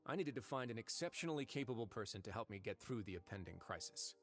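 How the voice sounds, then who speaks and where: An actor playing a composed, wry prison warden in a video game, speaking in a measured, formal, dryly teasing way. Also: male voice, fairly low pitch, lively intonation, fairly bright tone, faint music behind. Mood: wry, guarded. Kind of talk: scripted video game dialogue.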